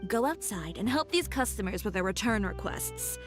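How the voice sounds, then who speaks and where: A woman's voice: medium pitch, animated, normal speed, soft background music, close to an everyday voice. A woman playing a proud, blunt young fashion designer in a video game, assertive and self-assured. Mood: brisk, composed.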